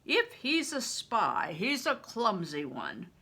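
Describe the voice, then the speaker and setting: Woman reading as a big, husky, confident man. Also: medium pitch, huge pitch swings, slow, bright, forceful tone, heavily acted. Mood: dismissive. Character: big, confident, gruffly fond grown man, protective mentor.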